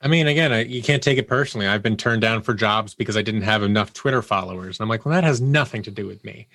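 A man's voice: lowish voice, fast talker, creaky, fairly bright tone, dry recording, studio quality. A man from the United States talking casually as a podcast guest, in an anecdotal interview style. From United States, Seattle. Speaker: podcast guest, audio drama producer.

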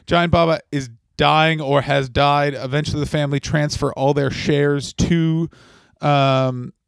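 A man talks with a podcast partner in a dry, joking way and swears freely. His voice sits a little high. The close mic leaves it dry, with no room sound. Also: measured pace, vocal fry, clear, resonant tone, no echo. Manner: deadpan, profane, jokey. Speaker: podcast co-host. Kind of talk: casual comedic chat.

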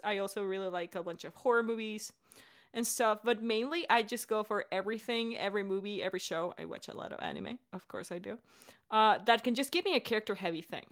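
A woman's voice: mid-range voice, a bit bright. A woman director and voice actor talking in a chatty, enthusiastic, giggly way in a casual, unscripted Q&A. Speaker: audio drama director and voice actor, hosting a Q&A.

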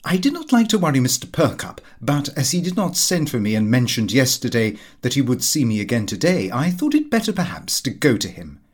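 A man narrates a comic diary with very lively, swooping intonation. His voice is husky and sits at a middling pitch, in the expressive style of an audiobook reader. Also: a little fast, few pauses.

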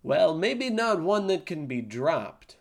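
A man's voice: on the high side, big pitch swings, creaky, exaggerated voice. A man playing a boastful, pompous army captain in a comedy audio drama. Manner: pompous, boastful, slow and grandiose.

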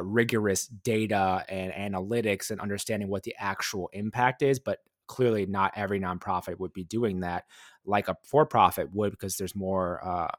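Low-voiced man hosting an interview. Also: dry recording, studio quality. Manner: rambling, exploratory questions. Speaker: podcast host interviewing a guest. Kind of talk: conversational interview.

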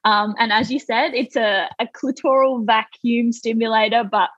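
Chatty young Australian woman, higher voice. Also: steady tone, normal speed, fairly bright tone, no room sound, muffled recording. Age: 20s. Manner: chatty, many fillers and restarts. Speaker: guest, toy company founder. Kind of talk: casual podcast interview.

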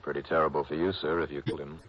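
An actor playing a shrewd, sardonic insurance investigator in an early 1960s detective radio drama, with brisk delivery. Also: male voice, very deep voice, level tone. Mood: questioning. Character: shrewd, wry male freelance insurance investigator. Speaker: lead actor and narrator. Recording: noisy, muffled vintage radio recording.

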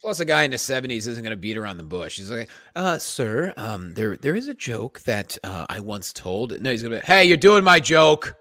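A man's voice: medium pitch, normal speed, few pauses, no room sound. A man who co-hosts a comedy podcast, telling anecdotes casually and acting out what people say. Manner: animated, acts out quotes.

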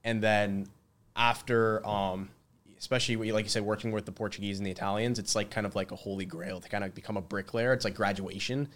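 A man in his twenties talks as a guest in a casual interview. His voice sits fairly low. He chats loosely, with plenty of fillers along the way. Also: fairly even intonation, brisk, fairly bright tone. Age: 20s. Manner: laughs often, lots of fillers. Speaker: guest, mason.

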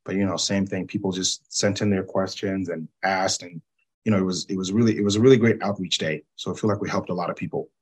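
Deep-voiced attorney from Zambia. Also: male voice, fairly even intonation, darkish tone, breathy edge. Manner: measured, explanatory, frequent "you know" and "right?". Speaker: personal injury attorney. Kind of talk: interview guest.

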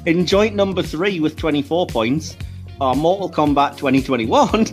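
A man's voice: fairly high pitch, moderate pace, faint music behind. A man with a British accent hosting a podcast in casual, chatty, rambling talk. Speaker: podcast host.

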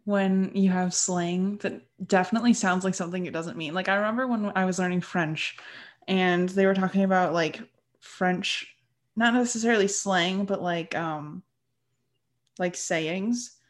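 A young American woman hosting a podcast, chatting in an animated, reflective way. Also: lowish voice, a little slow. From United States. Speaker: podcast host. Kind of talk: casual podcast chat.

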